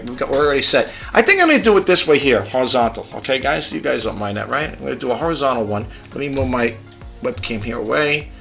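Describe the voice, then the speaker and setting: A man vlogging a casual tech demo at his home desk, chatty and rambling, in a low-key, fairly monotone voice. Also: creaky. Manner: chatty, rambling, demonstrating gadgets. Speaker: YouTube vlogger.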